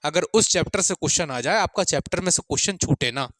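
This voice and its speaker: Man, high voice, dry close sound, informal coaching lecture, repetitive check-ins. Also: animated, fast talker, fairly bright tone, no echo. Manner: repeats points, frequent check-in tags and friendly address to students. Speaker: exam-prep coach. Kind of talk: informal lecture with exam advice.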